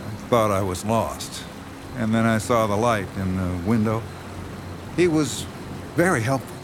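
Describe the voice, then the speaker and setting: An actor playing a sinister, obsessive horror writer with a coaxing, intense, condescending manner in a radio drama. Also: male voice, on the low side, unhurried, noisy background, close to an everyday voice. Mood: bland, polite. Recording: dramatic music behind the voice.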